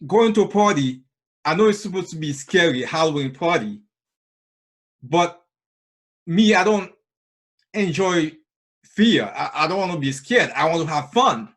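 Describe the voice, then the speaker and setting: A man's voice: a little high, expressive, a little slow, long pauses, fairly bright tone. A man giving an earnest, plain-spoken impromptu club speech over a video call, often repeating himself. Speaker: Toastmasters speaker.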